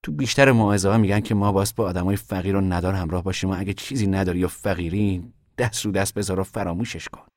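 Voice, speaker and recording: A man's voice: deep voice, few pauses, dry recording, studio quality. A man playing a stubborn young fugitive. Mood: reflective. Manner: earnest, quietly stubborn. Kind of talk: audio drama acting.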